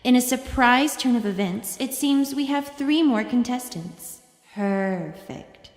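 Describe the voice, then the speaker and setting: A woman's voice: expressive, slow. A woman voicing a fierce tiger monster who hosts a TV competition, with grand, theatrical delivery. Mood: showy, grand. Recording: booming reverberant hall, music underneath.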